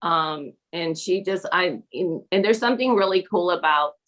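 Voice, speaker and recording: A woman who grew up in Peru, a teacher and mentor, talking in a podcast interview in a halting way, with many fillers and restarts. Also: on the low side, a bit bright. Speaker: National Board certified teacher and mentor.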